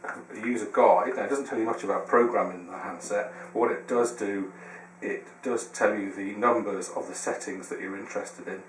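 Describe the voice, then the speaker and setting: A man's voice: on the low side, steady tone, moderate pace. A man presenting a casual how-to demonstration, explaining step by step in a hesitant way. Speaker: tutorial presenter. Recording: roomy, distant mic, faint noise.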